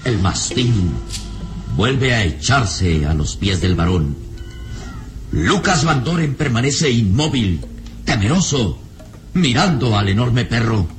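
A man with a medium-pitched voice narrates an old-style radio drama. His delivery is scripted and theatrical, with music clearly playing behind him. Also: big pitch swings, slow pace.

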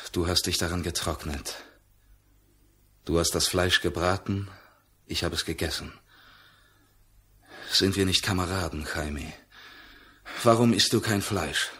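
An actor playing a companionable, mournful feather hunter in a dramatic, emotive German radio play. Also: male voice, deep voice, fairly bright tone. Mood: pleading. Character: Narciso, male jungle feather hunter, companionable, mournful, hurt.

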